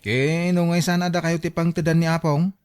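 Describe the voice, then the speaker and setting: Worried, questioning grandson played by an actor, high-pitched male voice, Ilocano accent, family radio drama. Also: level tone, brisk, darkish tone, smooth, lightly acted. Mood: concerned, questioning. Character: concerned young grandson questioning squabbling elders.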